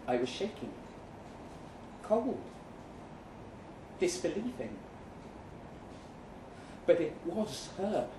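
A man performing a live short-story reading as a weary, jaded agent, speaking deliberately with dramatic pauses. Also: medium pitch, darkish tone. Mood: tense, jaded. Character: weary, jaded male agent narrating his own story. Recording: roomy live venue, some noise.

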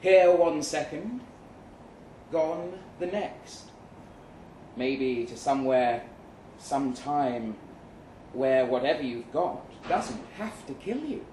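A man reading a first-person short story aloud dramatically, with measured delivery and deliberate pauses. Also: fairly high pitch, expressive, dark tone. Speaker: short story reader at a live event. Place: event room in a pub. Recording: roomy, distant mic with faint noise.

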